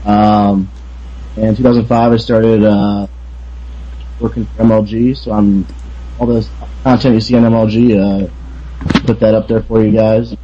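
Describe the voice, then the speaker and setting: A man introducing himself as a podcast guest, halting with many fillers. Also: on the low side, level tone, lo-fi sound, slight background noise.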